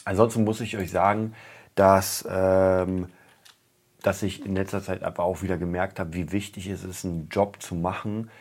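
A man hosts his own podcast, talking through his week in a loose, chatty monologue. His voice sits very low and sounds husky and stuffed up from a cold. He often repeats words to stress them. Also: flat delivery, moderate pace, darkish tone. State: has a cold, stuffy nose. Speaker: podcast host. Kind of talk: casual weekly update monologue.

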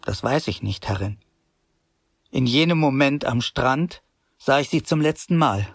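A man's voice: mid-range voice, lively intonation, a little slow, vocal fry, slightly rough, no echo, clean studio sound. A narrator telling his journey in an earnest, humble way, scripted for a radio drama.

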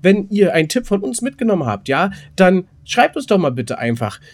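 A man chatting casually as a podcast host, rambling and halting, often repeating his words mid-sentence. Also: high voice, sing-song. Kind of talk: casual chat between co-hosts.

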